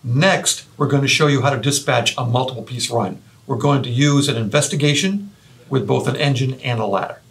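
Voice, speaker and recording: A man's voice: mid-range voice, brisk, gravelly, a bit bright. A man in his fifties presenting a training video, explaining directly to trainees. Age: 50s. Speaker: fire lieutenant, training presenter. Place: indoor office room, facing camera. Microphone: lapel.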